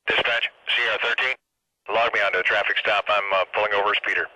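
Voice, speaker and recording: A man's voice: on the low side, steady tone, quick pace, sharp tone, no room sound, rough recording. A man playing a police patrol officer in a video game, talking urgent, clipped jargon through a radio filter. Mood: businesslike, alert. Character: urgent male patrol cop chasing speeders.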